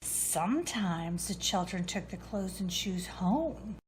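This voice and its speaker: A woman reading a children's storybook aloud in a deliberate way. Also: medium pitch, lively intonation, slight background noise. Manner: slow, deliberate narration. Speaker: storybook reader.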